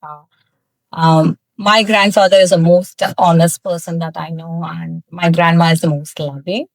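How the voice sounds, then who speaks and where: A woman in her thirties from South India, speaking with a fairly low voice. She tells her story frankly, stopping often on fillers. The sound is close and dry. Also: fairly even intonation, gravelly, no room sound, low-quality recording. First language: Tamil. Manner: candid, laughs often, pauses with many fillers. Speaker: guest, cosmetics founder. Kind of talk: personal storytelling in a podcast interview.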